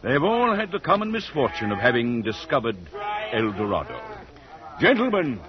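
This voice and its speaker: An actor playing a suave, ruthless, wealthy middle-aged patriarch in a 1940s radio drama, speaking in an urbane, formal, pompous manner. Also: male voice, mid-range voice, huge pitch swings, moderate pace, a crowd in the background. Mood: menacing announcement. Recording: dull 1940s radio recording.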